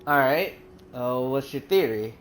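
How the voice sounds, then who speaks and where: A woman's voice: extremely low pitch, animated, unhurried. A woman streaming a game, chatting casually, laughing often and swearing freely. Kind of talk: casual live game commentary. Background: game music.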